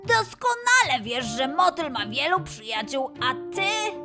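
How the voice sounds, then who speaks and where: A woman voicing a kind, earnest, excitable young lion boy in a children's audio fairy tale. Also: sits high, a little slow, over music. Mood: frank, reproachful. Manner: explaining patiently, exclaiming eagerly.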